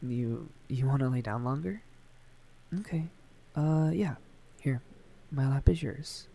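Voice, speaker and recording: A man playing a shy, tender, awkward young man, a friend's older brother, in an intimate ASMR roleplay, speaking softly and hesitantly with a stammer. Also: medium pitch, slow, gravelly. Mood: pleased, shy. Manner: hesitant, stammering, gently teasing.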